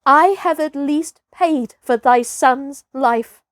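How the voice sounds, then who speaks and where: Woman playing a proud, high-voiced wild herdsboy. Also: slow pace, dry recording, clean studio sound. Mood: urgent. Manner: archaic, commanding speech. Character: young wolf-raised herdsboy, bold, proud and scornful.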